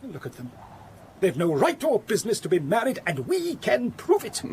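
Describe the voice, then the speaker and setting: High indignant English economist, fussy, pedantic, accusing, lively swoops, radio drama. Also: male voice, normal speed, a little hiss or hum, full character voice. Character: fussy, accusing male political economist obsessed with statistics.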